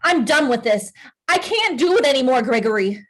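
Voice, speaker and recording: Woman acting a husky, sharp-tongued wife. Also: medium pitch, lively intonation, normal speed. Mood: hurt, angry. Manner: blunt, exasperated. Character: sharp, hurt, jealous wife, furious in crisis. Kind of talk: screenplay table read.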